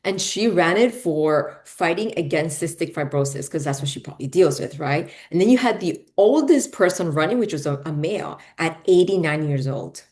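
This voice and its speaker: Woman host, 40s, from Peru by way of Miami, lowish voice, casual rambling interviewer. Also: lively intonation, normal speed, hardly any pauses, darkish tone. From Peru, raised in Miami. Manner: chatty, lots of fillers, frequent "right?" and "you know". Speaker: podcast host.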